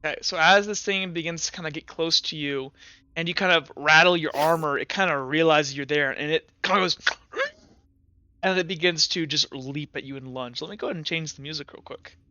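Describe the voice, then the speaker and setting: A young man with a bright, clear voice running a tabletop roleplaying game. He narrates the scene and explains the rules in a halting way, full of fillers and self-corrections, at a slightly raised pitch. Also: no echo, lo-fi sound. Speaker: game master.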